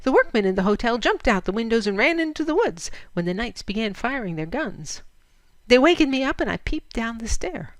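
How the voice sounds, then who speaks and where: A woman's voice: fairly high pitch, sing-song, brisk, dry recording, pitched above the performer's own voice. A woman voicing a sleepy, dreamy little girl who earnestly tells a fairy tale in a storybook reading.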